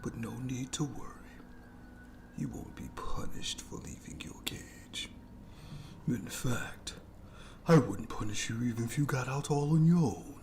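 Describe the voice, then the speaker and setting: A menacing monster, played by a man, whispers slowly in horror ASMR style, with a creaky edge to the voice. It taunts with mock courtesy, playful and sadistic, drawing out its words. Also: medium pitch, darkish tone, lightly acted. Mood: mock-courteous, tempting.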